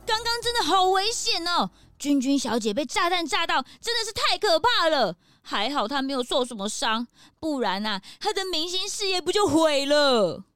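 Casting: actress for naive, excitable detective's assistant, high animated voice, children's audio mystery over music. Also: female voice, normal speed, few pauses, no echo. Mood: alarmed. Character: detective's excitable, chatty, naive young sidekick. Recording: music behind the voice.

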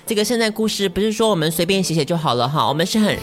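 A woman's voice: fairly low pitch, animated, rapid-fire, faint music behind. A woman with a Taiwanese Mandarin accent co-hosting a podcast, moving between casual banter and storytelling. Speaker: podcast co-host.

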